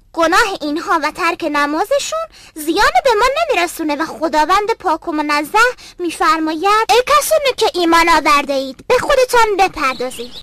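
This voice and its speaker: A woman's voice: very high-pitched, background noise. A woman voicing an earnest, pious young boy in an educational cartoon, explaining things in a bookish way. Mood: dismissive, assured. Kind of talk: dubbed educational cartoon acting.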